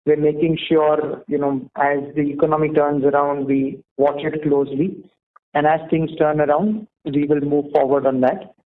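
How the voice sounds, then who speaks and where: Male executive, slightly high voice, measured and halting, earnings call answers, tinny phone-line sound. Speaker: company executive answering analysts. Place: conference call.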